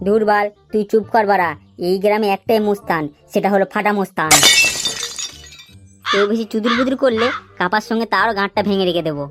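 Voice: medium pitch, over music, lightly acted. A performer voicing a brash, foul-mouthed young male village gangster in a comic cartoon, swaggering and coarse. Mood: angry, threatening. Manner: swaggering, coarse, quick to threaten. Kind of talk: comic cartoon dubbing in colloquial Bengali.

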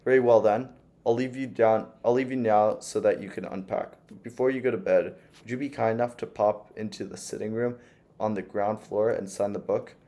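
A man's voice: fairly low pitch, fairly even intonation, normal speed, mellow, lightly acted. A man voicing a gushing, fussy older landlady who is sweetly sinister, with a doting, sugary manner.

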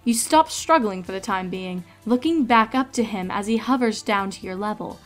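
A woman's voice: medium pitch, normal speed, faint music behind. A woman narrator reading a story aloud in a scripted, dramatic storytelling style.

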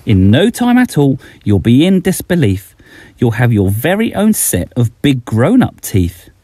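A man's voice: medium pitch, wildly swooping pitch, unhurried, mellow, husky, dry recording. A middle-aged man reading a rhyming bedtime story aloud, expressively, as a children's author addressing young listeners.

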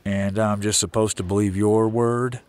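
An actor playing a weary, skeptical sheriff in an audio drama. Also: male voice, on the low side, little pitch movement, moderate pace, darkish tone, close to an everyday voice. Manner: weary, sceptical. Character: weary, skeptical small-town American sheriff.

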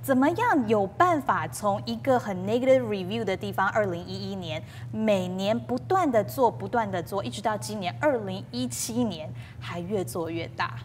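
A woman's voice: medium pitch, animated, moderate pace, hardly any pauses. A woman in her thirties hosting a talk show, interviewing a guest and switching between Mandarin and English. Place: TV talk show studio set with sofas. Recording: reverberant room with background noise.

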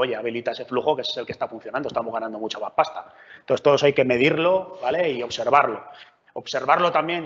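A man presents at a developer meetup in a large room. He talks conversationally with frequent check-ins. The sound is muffled and carries long hall reverb. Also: medium pitch. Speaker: meetup speaker. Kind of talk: technical conference talk. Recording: lo-fi roomy hall recording.

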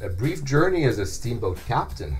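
A middle-aged man playing an earnest hometown journalist in a scripted podcast, telling local history in a measured way with deliberate pauses. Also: mid-range voice, big pitch swings, soft background music, close to an everyday voice. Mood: wry, animated. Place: small indoor podcast studio. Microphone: close studio microphone on a stand.

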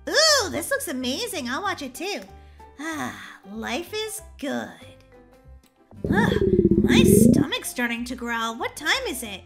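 A woman voicing an excitable, entitled young girl in a children's game roleplay, with an exaggerated, dramatic delivery. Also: sits high, slow, soft background music, exaggerated voice. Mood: casual, puzzled.

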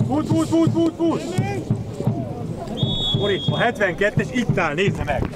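A man's voice: high voice, sing-song, over a noisy crowd. A man calling out urgently and complaining at an outdoor football game. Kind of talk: urgent sideline shouting and complaints. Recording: very noisy outdoor sideline.

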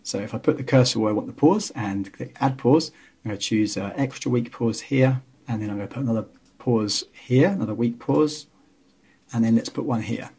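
Male tutorial host, 30s. Very husky tone, mid pitch, relaxed step-by-step explaining. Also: brisk. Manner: casual, explanatory. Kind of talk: screen-recorded software tutorial narration.

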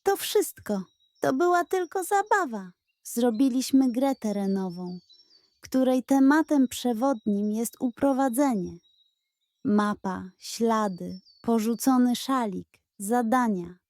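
A woman voicing a small, excitable child frog with a squeaky way of talking in a children's story. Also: takes it slowly, no room sound. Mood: explaining eagerly. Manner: squeaky, crying out.

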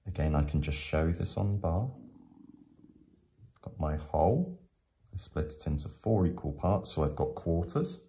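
Low, slow-talking male teacher. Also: animated, mellow, a little hiss or hum. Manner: patient, explanatory, with frequent pauses. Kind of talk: step-by-step maths tutorial narration.

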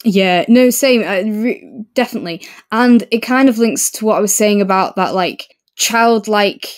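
A woman's voice: mid-range voice, moderate pace. A woman co-hosting a podcast, discussing books casually in an earnest, opinionated way. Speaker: podcast co-host.

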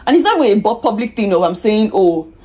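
A woman's voice: mid-range voice, big pitch swings, fast talker, dark tone, slightly rough. A woman, chatting confidingly to the camera while doing her makeup in a small room. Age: 20s. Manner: chatty, confiding, lots of restarts and "like". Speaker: beauty vlogger. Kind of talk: casual get-ready-with-me chat. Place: small indoor room with curtains. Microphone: camera in front at close range.

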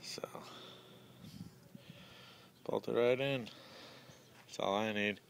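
A man's voice: fairly low pitch, steady tone, slow, vocal fry, slight background noise. A man talking casually and plainly, with lots of fillers, while he walks through assembling a cargo carrier. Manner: plain-spoken, rambling, many fillers. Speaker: product reviewer. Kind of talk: casual product review vlog. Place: outdoors by a van on pavement.

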